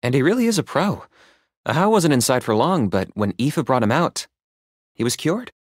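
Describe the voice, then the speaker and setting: An actor voicing a stoic, businesslike young male saurian hunter in a video game, speaking in a measured, matter-of-fact deadpan. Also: medium pitch, no room sound, studio quality. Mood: calm, dry. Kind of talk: scripted video game character monologue.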